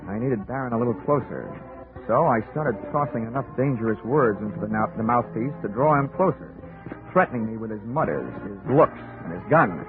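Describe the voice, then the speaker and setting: A man's voice: mid-range voice, lively intonation, a little fast, lightly acted. A man playing a cynical, wisecracking hard-boiled investigator in 1940s radio drama style, with slangy, clipped delivery. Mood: narrating coolly. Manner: sardonic, slangy, clipped tough-guy delivery. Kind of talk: 1940s radio detective drama acting and narration. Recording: tinny old-time radio recording with music.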